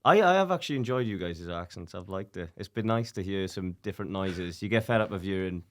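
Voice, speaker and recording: A young Welsh man co-hosting a student podcast, bantering in a relaxed way. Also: low-pitched, quick pace. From Wales. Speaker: student co-host. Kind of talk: casual podcast chat.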